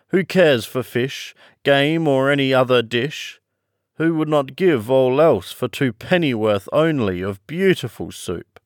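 A man voicing a mournful, sobbing Mock Turtle creature who complains insistently and sings slowly. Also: on the high side, no echo, studio quality, pitched above the performer's own voice.

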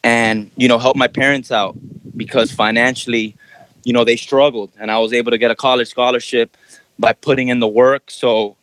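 A man's voice: medium pitch, level tone, normal speed, fairly bright tone, no echo, low-quality recording. Dry-sounding man telling his story. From Miami, Florida. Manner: conversational, frequent "you know" fillers. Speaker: basketball trainer. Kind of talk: casual podcast interview.

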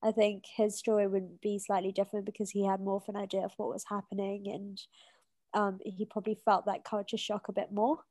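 A woman's voice: mid-range voice, level tone, a little fast, warm tone, no echo. A woman in her twenties with a British accent, a podcast guest talking in a reflective, hesitant way with many fillers and restarts. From Kenya. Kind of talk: casual conversation.

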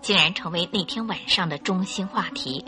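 A woman narrator reading a scripted radio drama in an expressive, dramatic storytelling manner. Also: on the low side, moderate pace, soft background music.

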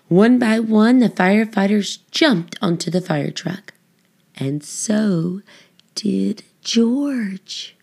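A woman narrating a children's book, phrasing it expressively and deliberately for young listeners. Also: mid-range voice, creaky, a little mellow. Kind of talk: scripted storybook narration.

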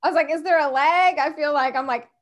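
A woman's voice: high voice, quick pace. A middle-aged American woman from the West Coast chatting as a podcast guest, informally telling anecdotes with conversational asides. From United States, West Coast. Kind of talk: casual anecdotal chat.

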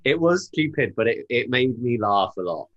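Podcast co-host, playful and wry, casual banter, middling pitch with lively, swooping delivery. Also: moderate pace, muffled recording, soft background music. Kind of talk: casual banter about a TV episode.

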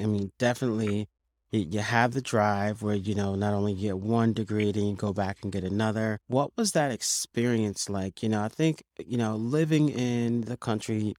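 A man with a low voice, hosting a podcast. He circles his questions with lots of fillers and restarts. The sound is dry and close. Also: a little mellow, no room sound. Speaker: podcast host.

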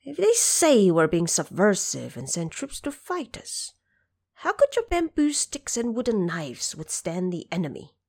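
A woman voicing a bold, shrewd male monkey leader who speaks deliberately and with command in an audio story. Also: medium pitch, wildly swooping pitch, darkish tone, heavily acted. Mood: thoughtful, concerned.

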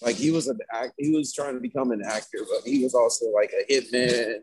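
A man's voice: medium pitch, steady tone, fairly quick, darkish tone, a little hiss or hum, low-quality recording. A man in casual chat with friends, speaking hesitantly and trailing off.

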